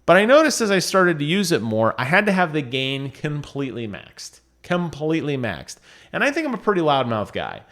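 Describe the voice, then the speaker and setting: A man's voice: fairly high pitch, wildly swooping pitch, fairly quick, a bit bright. A man presenting audio gear in a casual explanatory vlog, talking conversationally with emphatic repetition of key phrases.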